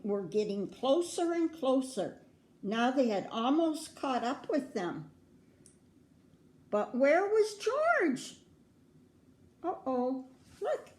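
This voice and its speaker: Slow-paced older American woman reading a storybook. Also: sits high, a little mellow. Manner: grandmotherly, prompts young listeners with questions. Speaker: children's story reader. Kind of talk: read-aloud picture book storytelling with asides to children. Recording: roomy, echoing indoor sound.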